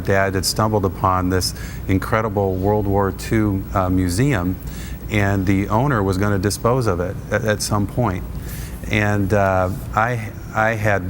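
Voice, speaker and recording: A man with a very low, flat voice speaks as a guest on a television interview. He talks in a steady, halting way, with many fillers. A clear hiss sits under the recording. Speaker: guest, auction business owner and minister. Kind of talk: conversational interview answer.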